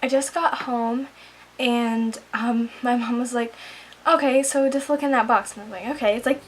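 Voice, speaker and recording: Teen girl, vlogger, casual diary chat, lots of ums, slightly high voice. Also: steady tone, normal speed, slight background noise. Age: teens. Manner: chatty, lots of ums and likes. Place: at home.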